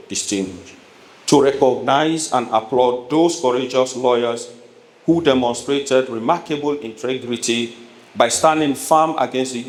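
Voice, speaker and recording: A man with a Sierra Leonean accent delivers a formal prepared political speech as a politician, speaking emphatically and deliberately in measured phrases. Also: mid-range voice. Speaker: politician addressing a town hall. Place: echoey hall at a town hall event. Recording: echoey room with noticeable background noise.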